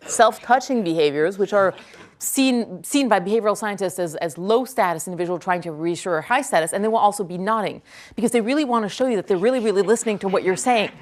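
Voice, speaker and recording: Brief: native French speaker, woman, lively lecturer in a hall, repeats each question before answering. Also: medium pitch. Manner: energetic, quick to praise, repeats each question aloud.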